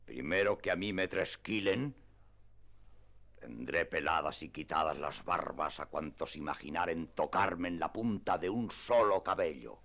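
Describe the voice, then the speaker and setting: A very deep, gravelly old gentleman speaks in a radio play. He is a proud, deluded knight-errant who delivers every phrase with solemn grandeur. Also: unhurried, full character voice. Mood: indignant. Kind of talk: classic radio drama acting.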